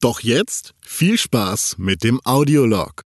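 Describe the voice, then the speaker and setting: Male podcast host for a scripted intro. Animated melody, dry recording. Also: mid-range voice, slow, no room sound.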